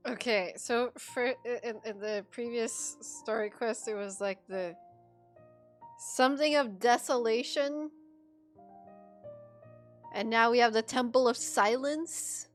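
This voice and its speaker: A woman game streamer with a fairly high voice chats casually as she plays. Her reactions are informal and fannish, over game music. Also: slow pace, long pauses. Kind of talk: casual live gameplay reaction chat.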